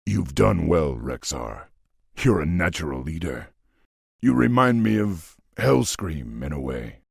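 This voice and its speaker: A man voicing a noble, resolute orc warchief in a fantasy game, with a deep husky voice and weighty, stately delivery. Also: very animated, measured pace, no echo, studio quality, full character voice. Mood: solemn, resolute. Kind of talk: fantasy video game acting.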